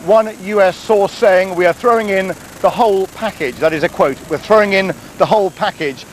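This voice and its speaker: A man's voice: high-pitched, moderate pace, a little hiss or hum. A man reporting live as a news correspondent, speaking in an emphatic way. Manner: emphatic, repeats quotes.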